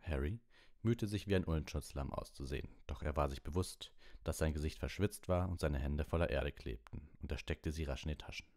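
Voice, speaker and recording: A man's voice: very deep voice, a little fast, darkish tone. A man narrating an audiobook in a storybook reading style.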